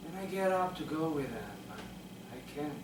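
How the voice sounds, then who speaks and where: A man playing a haunted, bedridden man in a halting, wistful theatrical monologue about his inner life. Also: fairly high pitch, lively intonation, close to an everyday voice. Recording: roomy, distant mic, clear background noise.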